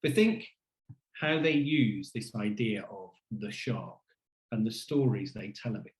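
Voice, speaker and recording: A man delivering a scripted first-person lecture in a deliberate, rhetorical and wry manner, as a keynote speaker. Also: lowish voice, expressive, echoey room.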